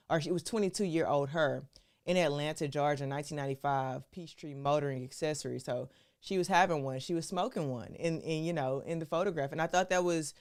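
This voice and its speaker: A woman's voice: deep voice, darkish tone, clear, resonant tone. A woman hosting a live stream, talking to her viewers in a casual, chatty way and calling them y'all. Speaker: show host.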